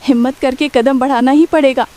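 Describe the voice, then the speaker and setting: A woman's voice: high-pitched, fairly even intonation, brisk, noisy background, lightly acted. A woman playing a poor, caring and protective village mother in a cartoon story. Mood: urgent, afraid.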